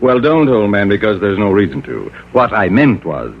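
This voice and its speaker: A man's voice: fairly low pitch, sing-song, normal speed, slight background noise. An actor playing a genteel, evasive man in a radio crime drama, uneasily polite and hesitant. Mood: defensive, smooth. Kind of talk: old-time radio crime drama acting. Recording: muffled, humming old radio broadcast.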